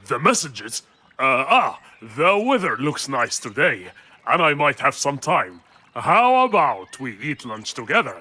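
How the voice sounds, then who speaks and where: A man's voice: medium pitch, big pitch swings, unhurried, sharp tone. A man voicing a sly, scheming cartoon villain who feigns friendliness in a condescending, calculating way. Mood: sly, curious. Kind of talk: children's cartoon dubbing.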